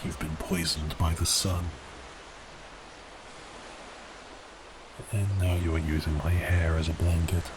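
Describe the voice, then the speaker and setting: Man voicing a deep, grumbling giant sea beast prince. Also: flat delivery, moderate pace, background noise, lightly acted. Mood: amused, curious. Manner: theatrical, grandly melancholy, exasperated grumbling. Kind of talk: ASMR roleplay acting.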